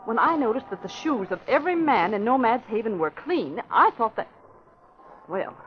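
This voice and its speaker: An earnest young reporter of an old radio drama, her pitch rising and falling with lively eagerness. The sound is dull and lo-fi with a faint hiss behind. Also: normal speed. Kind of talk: 1940s radio acting.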